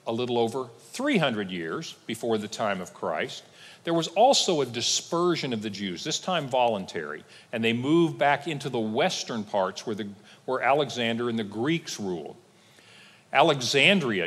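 A man preaching a teaching sermon as pastor, explaining patiently with dry asides. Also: big-room sound. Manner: explanatory, with lively pitch swings and dry asides. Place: church sanctuary.

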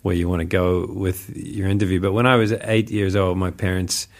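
A man's voice: low-pitched, fairly even intonation, normal speed. A man in his forties from New Zealand with an accent mixing New Zealand, Northern Irish and American traces, telling stories as an interview guest in a chatty, self-deprecating way with frequent fillers. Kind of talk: casual conversational storytelling.

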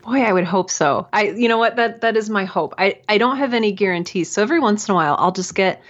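A woman's voice: mid-range voice, quick pace. A woman giving earnest, effusive answers as a guest in a conversational interview, often acting out little quotes. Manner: earnest, effusive, animated self-quoting. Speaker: podcast guest, writer and mentor. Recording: dull, lo-fi sound.

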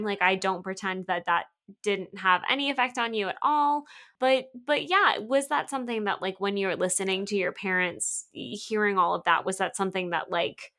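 A woman with a bright, clear voice hosting a casual chat. She asks earnest, searching questions and keeps rewording them mid-sentence. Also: mid-range voice. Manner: earnest, probing questions, rephrasing as she goes. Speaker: podcast host.

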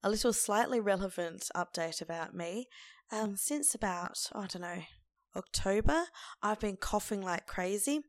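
A woman hosting a casual solo podcast in an informal, conversational way, with a croaky, hoarse voice. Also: mid-range voice, moderate pace, no room sound. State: lingering hoarseness after laryngitis. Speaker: podcast host.

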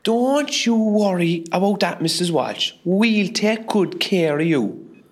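A woman's voice: on the low side, animated, slow. A woman playing a friendly male nurse in a radio comedy, polite and reassuring. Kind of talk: comedy radio play acting.

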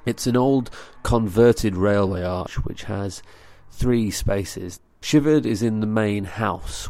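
A man delivering a scripted theatre review for a podcast in a measured, articulate, earnest way. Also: fairly low pitch, gravelly, mellow, no room sound.

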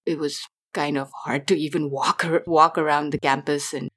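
A woman's voice: sits low, fairly even intonation, normal speed, a bit bright, slightly rough. A young adult woman from Nepal, a guest chatting casually in an interview, with many fillers and restarts.

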